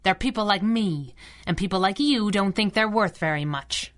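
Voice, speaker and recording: An actress playing a feisty young working-class crime-fighting sidekick in a 1930s radio adventure, blunt and sassy. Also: female voice, mid-range voice, moderate pace, fairly bright tone, lightly acted. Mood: bitter, sardonic.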